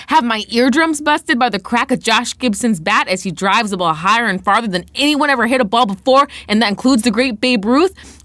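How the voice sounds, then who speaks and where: A woman voicing an eager, baseball-mad boy in a dramatic book reading, gushing with excited questions. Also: a little high, dry recording, voice pushed up.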